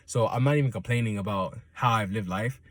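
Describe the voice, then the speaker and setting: A man's voice: fairly low pitch, brisk, no echo. Rambling young man chatting casually. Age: 20s. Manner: loose, chuckling, keeps checking in with "right?". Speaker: solo talker.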